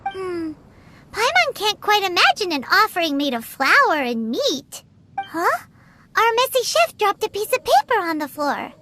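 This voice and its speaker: A woman voicing a small, excitable, childlike fairy companion with a squeaky voice in a video game. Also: unhurried, a little hiss or hum, full character voice. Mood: curious. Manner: speaks of self in third person, exclamatory. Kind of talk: animated video game voice acting. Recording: music behind the voice. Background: playful game music.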